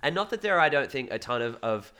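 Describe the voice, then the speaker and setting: A man's voice: mid-range voice, very animated, quick pace, sharp tone. An Australian man co-hosting a casual show recap, playful and joking. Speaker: podcast co-host.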